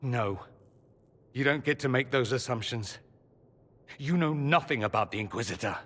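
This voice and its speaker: An actor playing a proud, sardonic, wounded young mage of noble birth in a video game, articulate and theatrical. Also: male voice, medium pitch, normal speed, fairly bright tone, long reverb tail, lightly acted. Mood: bitter, angry. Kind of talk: dramatic video game acting.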